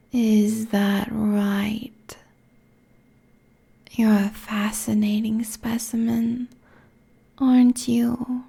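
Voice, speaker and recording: A woman's voice: on the high side, warm tone, exaggerated voice. A woman playing a seductive, cruel succubus queen in an intimate ASMR roleplay, whispering haughtily close to a binaural microphone. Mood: amused, cruel. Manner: breathy, slow, condescending whispering.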